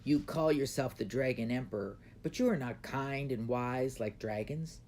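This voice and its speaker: A man's voice: medium pitch, moderate pace, darkish tone, lightly acted. Wise old man for a children's storybook, played by a male reader; earnest, admonishing, some background noise. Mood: stern warning. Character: wise old man who speaks to dragons. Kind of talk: children's storybook read aloud.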